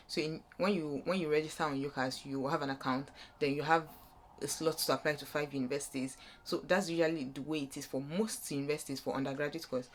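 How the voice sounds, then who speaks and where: A woman's voice: sits low, slight background noise. A young woman with a Nigerian accent gives an informal, unscripted explainer as a vlogger, rambling and rephrasing her points as she goes. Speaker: vlogger giving student application advice.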